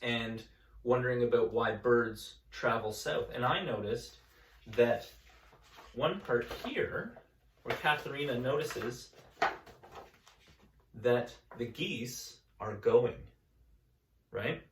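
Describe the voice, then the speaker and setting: Gentle male teacher reading aloud. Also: mid-range voice, takes it slowly, pauses often, slight background noise, low-quality recording. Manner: gentle, calm storytelling with chatty asides to kids. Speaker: elementary school teacher. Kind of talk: reading a picture book aloud to students.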